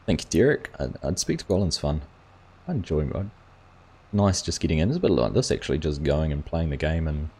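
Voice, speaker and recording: A man's voice: deep voice, mellow, breathy edge. A man hosting a gaming livestream, chatting casually and reading viewers' comments aloud. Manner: rambling, reads chat aloud and answers viewers.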